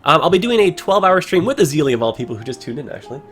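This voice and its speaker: A man casually commenting on a game he plays on a livestream, rambling with many fillers, his voice hoarse as he is losing it. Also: on the high side, sing-song, very fast, a bit bright, soft background music.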